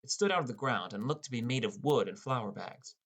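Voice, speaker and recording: A man's voice: medium pitch, expressive, fairly quick, vocal fry. Understated teenage boy narrator played by a man. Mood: narrating. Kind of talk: first-person audiobook narration with character dialogue.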